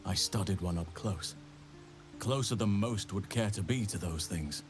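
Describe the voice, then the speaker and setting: Druid man, lowish voice, grave, earnest, measured, scholarly, game dialogue, clear music behind. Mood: courteous, intrigued. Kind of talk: video game character dialogue. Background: loud-ish background music.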